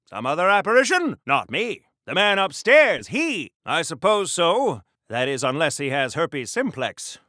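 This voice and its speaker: Theatrical, pompous old-time superhero. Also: male voice, on the high side, sharp tone, no room sound, studio quality. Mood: puzzled, musing. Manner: theatrical, formal, fussy, earnest. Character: pompous, oblivious, old-fashioned 1940s Golden Age superhero. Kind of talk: 1940s radio-serial style game voice acting.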